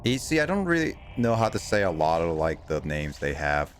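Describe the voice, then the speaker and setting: Rambling low-voiced young man streaming a game. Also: big pitch swings, moderate pace, slight background noise. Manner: rambling, self-mocking, stumbles over names. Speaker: streamer playing a video game. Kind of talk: casual livestream chat with viewers.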